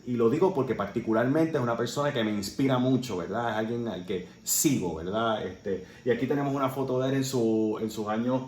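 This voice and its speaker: A man's voice: mid-range voice, a little fast, hardly any pauses, darkish tone. A man teaching a Sunday Bible class in an earnest way, often checking in with his listeners. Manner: earnest, with frequent "¿verdad?" and "eh" fillers. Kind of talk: Sunday school Bible lesson. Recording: roomy echo, faint background noise.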